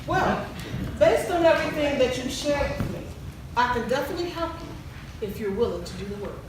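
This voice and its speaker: A woman playing a composed relationship counsellor in a stage play, measured, probing and professional. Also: sits high, animated. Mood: composed, instructive. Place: large echoey indoor stage venue. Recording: very echoey, distant, background noise.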